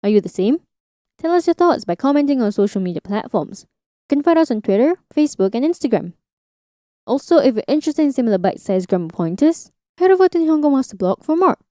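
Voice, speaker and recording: A woman's voice: high-pitched, very animated, fast, mellow, no room sound, clean studio sound. A woman presenting a scripted educational podcast as host and language teacher.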